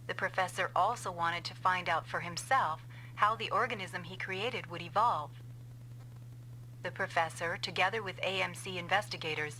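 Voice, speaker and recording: An actress playing a vengeful female scientist in an anime-style game dub, explaining coldly and deliberately. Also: on the low side, bright, forceful tone, slight background noise, poor audio. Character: vengeful female scientist confessing a revenge plot.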